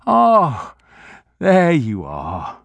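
A man's voice: fairly high pitch, sing-song, takes it slowly, darkish tone, no room sound, voice pushed up, exaggerated voice. A man voicing an anxious, kindly old male corner grocer who fusses with old-fashioned politeness.

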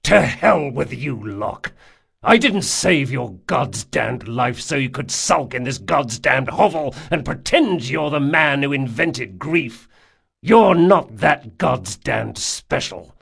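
Man acting a gravelly, furious loyal friend. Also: mid-range voice, expressive, exaggerated voice. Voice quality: gravelly, growling. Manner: forceful, scolding, drawn-out emphasis. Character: gruff, exasperated, hot-tempered big loyal male friend.

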